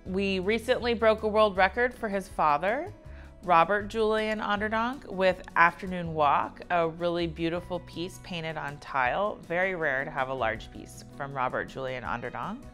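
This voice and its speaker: A woman's voice: on the low side, normal speed, fairly bright tone. An American woman in her thirties giving a polished, conversational promotional talk to camera as an art director. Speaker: auction house art director. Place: indoor office-like studio set. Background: background music.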